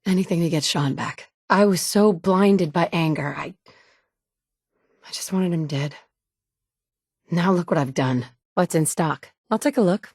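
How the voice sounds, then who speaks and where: Determined mother in a game: blunt, dry-witted, lower register, close dry booth read. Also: a little slow, long pauses, a little mellow, dry recording. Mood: determined, wry. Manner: dry wit, blunt and curt when annoyed. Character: determined mother searching for her son, wry. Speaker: player character. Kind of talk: game dialogue lines. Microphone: close studio mic.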